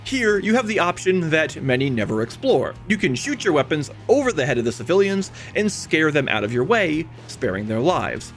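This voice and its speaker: A man's voice: a little high, sing-song, moderate pace, hardly any pauses, a bit bright. A man narrating a scripted video essay as its host, with emphatic, dramatic phrasing and conversational asides. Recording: music behind the voice. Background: background music.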